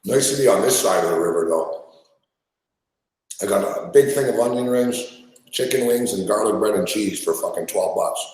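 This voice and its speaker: A man chats loosely on a live sports betting show, loud and full of swearing as he rambles between topics. His voice sits a little low. The room around him is echoey. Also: normal speed. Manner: loud, profane, rambling banter. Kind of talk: casual live-stream chat while eating. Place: small studio.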